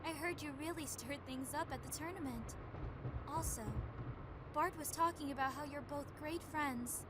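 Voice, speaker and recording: A woman's voice: high-pitched, moderate pace, background noise, close to an everyday voice. A woman playing an earnest, spirited young heroine with a girlish manner in a radio drama. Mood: earnest, confiding. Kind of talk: fan radio drama acting. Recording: loud background music.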